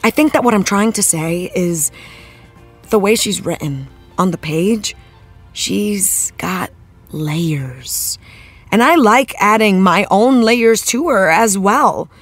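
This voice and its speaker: An earnest young-spirited TV actress in an interview, thoughtful and searching for words. She takes her time, with very animated intonation. Faint music plays behind her. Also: medium pitch, slow pace. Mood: sincere, emphatic. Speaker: interviewed actress, after-show guest. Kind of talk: conversational interview.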